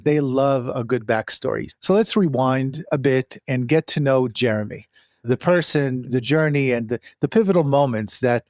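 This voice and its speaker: A man hosting an interview podcast, speaking earnestly in deliberate, emphatic phrases. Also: mid-range voice, a little mellow, dry recording, clean studio sound. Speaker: podcast host.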